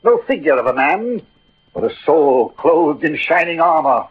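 A man's voice: a little high, very animated, a little slow, slightly rough, exaggerated voice. An actor playing a proud, poetic, melancholy swordsman in a declamatory 1940s radio drama style. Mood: proud, grandiloquent. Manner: grandiloquent, declamatory, savouring verse. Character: Cyrano, swaggering poetic swordsman, proud, melancholy about his ugliness. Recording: muffled old radio recording, music behind.

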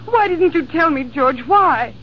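A devoted young woman in a 1940s radio drama. Her voice is high, and she pleads with emotion in every line. The broadcast is muffled, with a faint hiss underneath. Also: animated, measured pace. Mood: pleading.

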